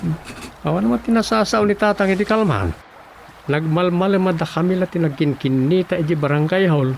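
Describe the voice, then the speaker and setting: Man acting a high-voiced grumbling Ilocano husband, noisy recording. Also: brisk, gravelly, a little mellow. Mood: grumbling banter. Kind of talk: Ilocano radio comedy drama acting. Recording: noisy recording with background ambience.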